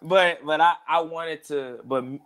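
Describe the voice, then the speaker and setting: A man's voice: a little high, a little slow, a bit bright. A man in his thirties, a music producer talking casually as an interview guest, in a laid-back, rambling way. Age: 30s. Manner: laid-back, rambling, keeps saying "you know what I'm saying".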